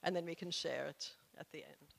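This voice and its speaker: A woman's voice: low-pitched, fairly even intonation, normal speed, gravelly, a bit bright. A woman leading a workshop group through a writing exercise, in a friendly, encouraging way with hesitant restarts. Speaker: workshop facilitator. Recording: echoey room with long reverb.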